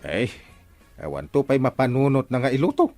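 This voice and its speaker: A man's voice: medium pitch, big pitch swings, normal speed, a little mellow, faint music behind. A man with an Ilocano accent playing an exasperated, grumbling village captain in a radio comedy drama. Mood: grumbling, worried.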